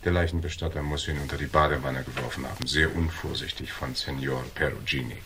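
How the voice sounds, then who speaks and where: An actor playing a cool, defiant hardboiled investigator in a German radio thriller, dry, laconic and taunting. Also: male voice, rumbling low, fairly even intonation, quick pace, fairly bright tone, a little hiss or hum. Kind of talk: German radio crime drama acting.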